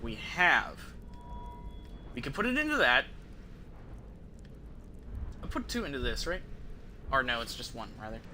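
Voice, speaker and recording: Bright, forceful male game streamer. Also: mid-range voice, wildly swooping pitch, unhurried, pauses often, a little hiss or hum. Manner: profane, slangy. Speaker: video game livestreamer. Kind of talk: casual gameplay commentary to stream viewers.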